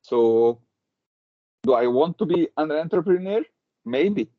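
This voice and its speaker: A man's voice: a little high, expressive, takes it slowly, no room sound. A man from Egypt introducing himself in class, modest and hesitant, with many uhs. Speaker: student. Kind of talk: self-introduction. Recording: dry, dull lo-fi audio.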